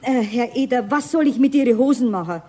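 An actress playing a chatty, clumsy widowed housekeeper with a Bavarian accent in a 1970s German children's radio play, fussy and full of exclamations. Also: female voice, fairly high pitch, animated, a little fast, warm tone. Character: chatty, flustered, clumsy widowed housekeeper, middle-aged or older.

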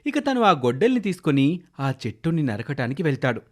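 A man's voice: on the high side, big pitch swings, a little fast, a little mellow. A man narrating a scripted children's moral story.

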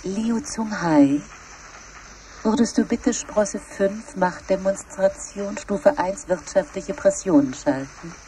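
An actress playing a polite, deliberate female officer in a 1970s radio drama. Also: mid-range voice, natural voice. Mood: polite request. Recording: very noisy 1970s radio recording.

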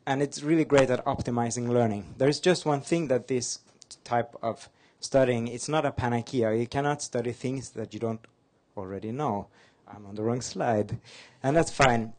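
A man's voice: medium pitch, moderate pace, hardly any pauses, darkish tone. Casting: man from Norway, native Norwegian speaker, conference presenter, upbeat and light-hearted. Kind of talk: fast-paced slide talk. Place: conference hall.

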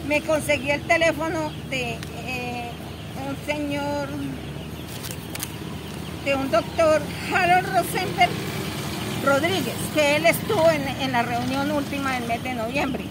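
A woman's voice: sits high, takes it slowly. A middle-aged woman from Colombia, a local resident, complaining in a detailed, indignant way during an outdoor street interview. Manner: indignant, detailed, gestures while talking. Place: outdoors on a busy town street. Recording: street noise and traffic behind.

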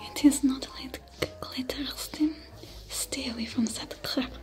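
A woman voicing a secretive, caring female alien smuggler with a French accent, in a soft, breathy, close-mic ASMR whisper that stays gentle and reassuring. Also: high-pitched, steady tone, a little slow. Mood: soothing whisper. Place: indoor set dressed as a sci-fi bar. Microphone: close sensitive mic. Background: soft music.

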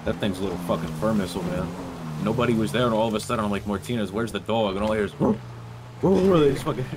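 A man's voice: lowish voice, lively intonation, racing, natural voice. A man role-playing a brusque police officer in an online game, with clipped, profane speech. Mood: animated, amused. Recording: noisy online game voice chat.

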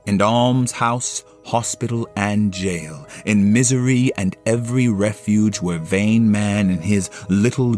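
A man narrating a classic novel as dramatic, scripted storytelling, with a theatrical and solemn delivery. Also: lowish voice. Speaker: narrator, solo performer.

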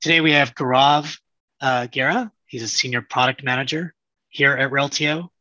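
Male webinar host, crisp bright voice, dry muffled call sound, easygoing. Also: medium pitch, steady tone, moderate pace, no echo. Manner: casual, self-deprecating. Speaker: community show host. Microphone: video call.

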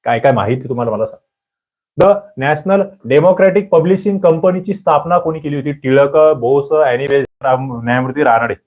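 A man's voice: a little high, darkish tone, highs cut off. A man teaching an exam-prep history lesson, reading out quiz questions and answers and coaxing his students, repeating the key answers.

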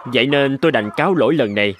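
A man playing a courteous country gentleman in an animated fairy tale, speaking politely and formally with deliberate phrasing. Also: mid-range voice, slight background noise, close to an everyday voice. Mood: apologetic. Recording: background music under the voice.

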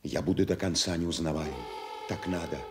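A man acting a loyal, resolute banished nobleman in a measured radio drama soliloquy. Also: deep voice, steady tone, close to an everyday voice.

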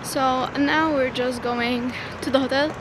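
A woman's voice: high voice, steady tone, a little slow, noisy background. A young woman in her twenties, a travel vlogger chatting to a handheld camera as she walks around a city, laughing often as she describes her plans. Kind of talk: casual travel vlog to camera. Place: outdoors in a city, streets and stadium grounds. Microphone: handheld camera at arm's length.

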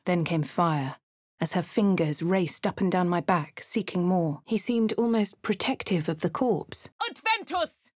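An actress playing a posh, composed fantasy adventurer in a video game, with a British accent and a refined, measured, dramatic delivery. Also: female voice, lowish voice, animated, dry recording, clean studio sound. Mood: incanting. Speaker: voice actor for a video game player character. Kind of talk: scripted game voice lines and narration.

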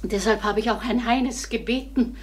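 An actress playing an anxious, gentle middle-aged wife and mother in a German crime radio drama, hesitant and soothing. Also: on the high side, moderate pace, lightly acted. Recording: noisy old radio recording.

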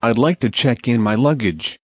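A man reading English travel phrases one by one in a measured, plain way for learners. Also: medium pitch, expressive, dry recording. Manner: measured, plain, each phrase read alone. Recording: dull, slightly muffled dry audio.

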